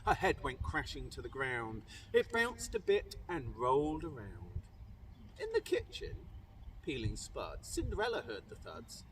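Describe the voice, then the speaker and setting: A man's voice: mid-range voice, huge pitch swings, a little slow, a bit bright. A man with a British accent reading rhyming verse aloud to children in a theatrical, emphatic way. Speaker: primary school teacher reading aloud. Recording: distant microphone, faint background noise.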